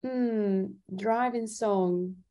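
A woman's voice: medium pitch, extremely slow, mellow. A woman from Italy in a casual interview as a guest, thinking aloud and hesitating as she answers. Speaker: guest singer-songwriter.

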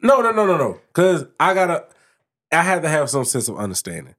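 A man's voice: on the high side, wildly swooping pitch, brisk, fairly bright tone. A man co-hosting a podcast, chatting casually and explaining his view at length, often restarting his sentences. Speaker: podcast co-host.

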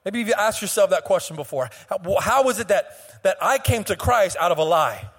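A slightly hoarse young man preaching a sermon as pastor. He speaks with passion, stretches and half-sings phrases, and often restarts his words. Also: high-pitched, animated, a bit bright, long reverb tail. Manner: impassioned preaching with sung, drawn-out phrases and frequent restarts. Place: church sanctuary.

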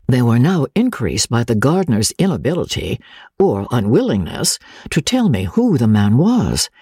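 A woman narrating classic literature as a dramatic audiobook reading, with measured, formal, deliberate phrasing. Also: rumbling low, dark tone, breathy edge, no echo, studio quality.

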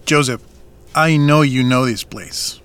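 An actor playing a firm man who co-runs a family farm, confronting someone in a measured, serious way in an audio drama. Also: mid-range voice, fairly bright tone, clean tone, lightly acted.

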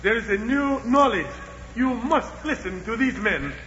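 Slow, high-pitched, echoing Aztec emperor. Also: male voice, lively intonation, heavy background noise. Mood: exhorting. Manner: slow, declamatory, grave. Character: noble Aztec emperor, solemn, stately, fatherly ruler. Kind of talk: 1940s radio acting. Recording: muffled reverberant radio recording, crowd behind.

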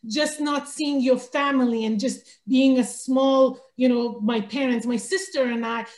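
An author from Iran, guesting on a podcast and telling stories with animated, filler-rich chat. Her voice sits slightly high, and it is recorded in an echoey room. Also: measured pace. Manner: animated storytelling with many fillers like "you know". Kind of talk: casual interview chat.

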